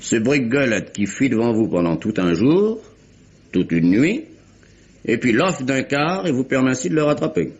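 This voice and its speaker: Un comédien en procureur pompeux, intonation très mouvante. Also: male voice, medium pitch, lively intonation, normal speed, a little mellow, natural voice. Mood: probing, skeptical. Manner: formal, pompous, precise diction. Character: pompous, suspicious male British attorney general of the 1870s. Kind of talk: French radio mystery drama acting. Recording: dull, muffled vintage radio recording.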